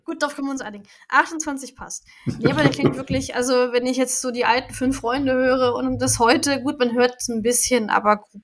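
A woman co-hosting a casual podcast, agreeing often and adding quick remarks. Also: high-pitched. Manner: agreeable, adds quick remarks and short yeses. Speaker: podcast co-host. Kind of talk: casual chat.

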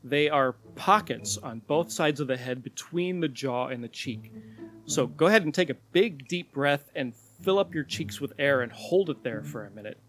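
Calm, explaining podcast co-host. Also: male voice, fairly high pitch, moderate pace, faint music behind. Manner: calm, explanatory, laughs along. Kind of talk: casual chat with explanations.

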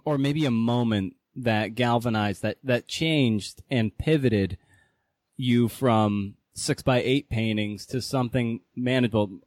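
A man's voice: on the low side, a little mellow, no room sound. A man hosting a podcast, asking casual interview questions in a rambling, searching way.

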